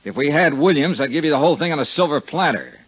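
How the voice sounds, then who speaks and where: A man's voice: on the high side, lively intonation, quick pace, husky, no echo. A man playing a tough, terse American insurance investigator in a 1950s radio detective drama. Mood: touchy, firm. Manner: terse, matter-of-fact. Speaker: actor playing lead investigator and narrator. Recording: muffled 1950s radio recording with music.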